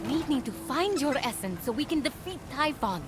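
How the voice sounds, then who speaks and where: An actress voicing an eager, earnest and impatient young female warrior in a video game cutscene, exclaiming and animated. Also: high voice, moderate pace, background noise. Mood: exasperated.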